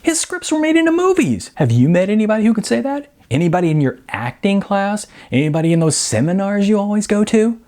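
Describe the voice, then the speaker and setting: A man's voice: sits high, sing-song, fairly quick, hardly any pauses, a little mellow, pitched above the performer's own voice. Guy doing a hyped up young slacker dude, cocky and pushy, voice jumping way up, storybook dialogue. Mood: incredulous. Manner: slangy dude-speak, brash and insistent. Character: excitable, cocky young male slacker dreamer, record store clerk.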